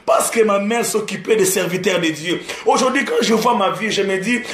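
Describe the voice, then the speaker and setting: A man's voice: sits high, normal speed. Man preaching in an echoey room. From Democratic Republic of the Congo. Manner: repetitive preaching cadence with laughter, mixing French and Lingala. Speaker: gospel singer preaching. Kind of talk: sermon-style testimony. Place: live-streamed church setting.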